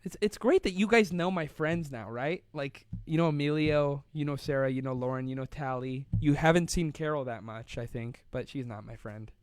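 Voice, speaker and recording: A man's voice: a little high, moderate pace, few pauses, smooth, dry recording. A young man hosting a casual live online show, rambling and playful, laughing often as he reads out viewer comments. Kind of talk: casual live-stream chat.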